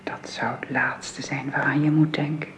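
A composed, gently insistent wife in a radio drama. Her voice is very low, with deliberate, probing delivery. Faint noise runs under it. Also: level tone. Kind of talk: radio play acting.

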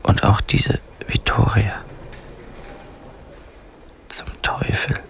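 An actor playing a teenage son's bitter, tender inner thoughts in a radio drama, in a breathy, creaky whisper. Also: male voice, drawn-out words. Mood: defiant, hopeful.

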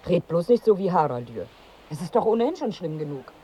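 An actress in a radio drama playing a wry, exasperated wife on holiday, dry and bossy in manner. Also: female voice, fairly low pitch, big pitch swings, brisk, warm tone, slightly rough. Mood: wary, curt. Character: wry, exasperated married woman on holiday seeking pregnancy.

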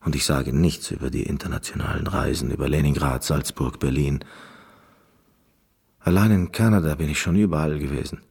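An actor playing a neurotic, fragile, obsessive concert pianist in a radio play, with a halting, fussy manner. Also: male voice, a bass voice, vocal fry, darkish tone, slightly rough, full character voice. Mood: weary, brooding. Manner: halting, stammering, fussy, self-absorbed.

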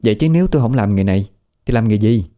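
A man's voice: on the low side, animated, very fast, mellow, close to an everyday voice. Man doing a brash young woman, Southern Vietnamese. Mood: defiant. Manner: blunt, teasing, mocking.

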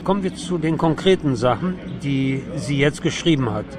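A man's voice: fairly high pitch, expressive, moderate pace, a crowd in the background. A man hosting a conversational cultural radio interview. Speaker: radio host interviewing a guest.

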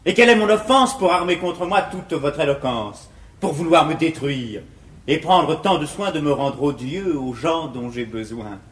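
An actor playing an ardent, courteous young gentleman suitor in classical French verse comedy, with polished, eloquent declamation. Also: fairly high pitch, lively intonation, normal speed. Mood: pleading indignation. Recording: echoey 1950s theatre recording.

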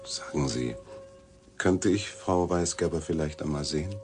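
An actor playing a young newspaper journalist in a German radio drama, casually inquisitive and wryly polite. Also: male voice, extremely low pitch, moderate pace, darkish tone, soft background music. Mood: probing, polite. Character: probing, wry young male newspaper journalist.

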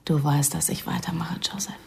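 A woman's voice: deep voice, fairly even intonation, fairly quick, creaky, dark tone, slightly rough, in a whisper, full character voice. An actress in a radio drama playing a defiant, weary young female undercover agent with a pointed, emotional way of talking. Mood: hushed, intense.

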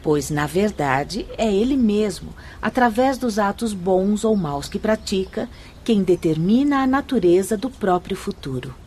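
A woman playing a serene, learned spirit guide in a radio drama, speaking in a formal, measured, instructive and firm manner. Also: mid-range voice, animated, dark tone, a little hiss or hum, close to an everyday voice. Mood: patient, instructive. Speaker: actress in a radio play. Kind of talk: radio drama acting, solemn and didactic.

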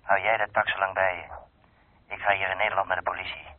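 A man playing a worried, gruff haulage boss in a radio drama. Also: deep voice, flat delivery, quick pace. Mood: grumbling, pondering. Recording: muffled, narrow-band old radio recording.

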